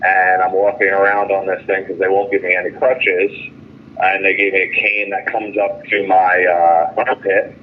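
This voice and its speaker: A man's voice: low-pitched, level tone, moderate pace. Man on a tinny, noisy phone line. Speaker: jailed caller. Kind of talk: casual phone update to a vigil. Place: jail phone call. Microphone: telephone.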